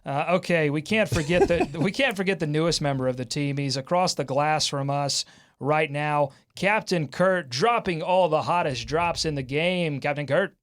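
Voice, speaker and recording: A man hosting a sports podcast in a lively, upbeat, joking banter style. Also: on the high side, moderate pace.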